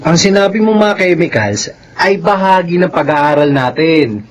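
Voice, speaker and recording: Man as a high-voiced, nagging Filipino older brother. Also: expressive, moderate pace, close to an everyday voice. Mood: impatient. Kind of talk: Filipino radio drama acting.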